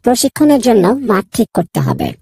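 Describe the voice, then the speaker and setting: A woman's voice: on the high side, big pitch swings, a little fast, gravelly, a little mellow, slightly rough, dry recording, heavily acted. A woman voicing a fussy, inquisitive busybody animal who bosses everyone around in a children's cartoon. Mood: bossy, eager. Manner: inquisitive, bossy, repeats a catchphrase.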